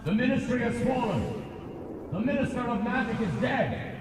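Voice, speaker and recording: Echoing, solemn magical messenger. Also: male voice, very high voice, expressive, normal speed. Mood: grave. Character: grave, solemn magical messenger announcing doom. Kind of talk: video game voice acting. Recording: very echoey, distant, loud background noise.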